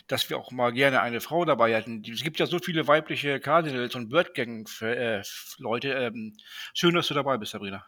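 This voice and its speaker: A man's voice: mid-range voice, moderate pace, bright, forceful tone. A man co-hosting a podcast in a chatty, eager way. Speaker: podcast co-host. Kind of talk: casual podcast chat.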